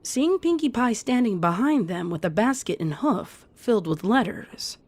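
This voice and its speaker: A woman's voice: a little high, animated, normal speed, darkish tone. A woman reading fan fiction aloud as scripted storytelling narration. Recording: music under the voice.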